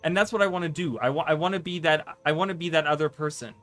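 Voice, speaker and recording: A man's voice: a little high, quick pace, a bit bright. A man whose first language is German, chatting casually on a live stream, rambling with stammered restarts and a habit of asking "right?". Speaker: streamer and content creator.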